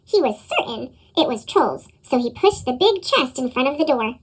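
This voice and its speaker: Squeaky chipmunk-effect woman storyteller. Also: big pitch swings, normal speed, husky, rough recording. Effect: sped-up chipmunk pitch effect. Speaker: storybook reader. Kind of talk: children's read-aloud narration.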